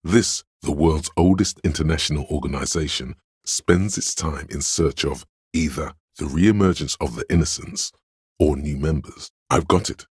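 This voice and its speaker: A man voicing a video game's know-it-all inner voice of encyclopedic trivia, erudite and measured, with a gravelly, husky, very deep delivery. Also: a little mellow, no echo, clean studio sound, exaggerated voice. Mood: self-assured. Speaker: video game voice actor. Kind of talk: scripted game narration.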